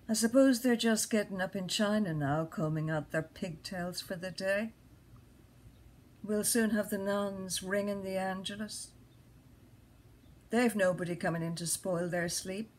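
A woman's voice: medium pitch, slight background noise, close to an everyday voice. A woman performing a restless, earthy wife who lies awake musing, in a theatrical stream-of-consciousness monologue. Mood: restless, wry.

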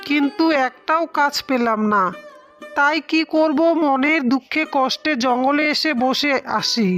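A woman's voice: sits high, fairly bright tone. A woman voicing a poor, honest, anxious male day labourer in a cartoon fairy tale, humble and plaintive.

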